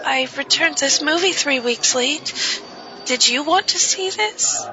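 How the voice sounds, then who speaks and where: A woman's voice: sits high, animated, measured pace, pushed and bright, exaggerated voice, low-quality recording. A woman playing an anxious, gullible video store customer in a comedy audio drama, earnest and fretful. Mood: worried.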